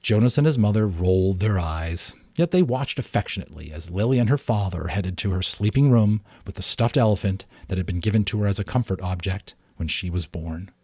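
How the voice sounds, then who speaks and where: A man's voice: low-pitched, expressive, fairly quick, hardly any pauses, darkish tone, slightly rough, no echo, studio quality. A man reading scripted storybook narration aloud.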